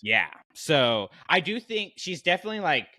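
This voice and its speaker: A man hosting a podcast in casual chat, with a playful, animated way of bantering. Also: a little high, moderate pace, no echo, studio quality. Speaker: podcast host.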